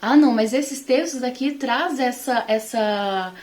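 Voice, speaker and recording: A woman's voice: fairly high pitch, a little slow, darkish tone. A Brazilian woman teaching the Bible informally to online followers, conversational and emphatic in her delivery.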